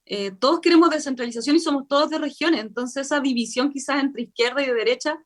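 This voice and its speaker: A Chilean woman, a convention member, explaining her work fluently in a radio interview, with frequent filler pauses. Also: high-pitched. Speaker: constitutional convention member, guest.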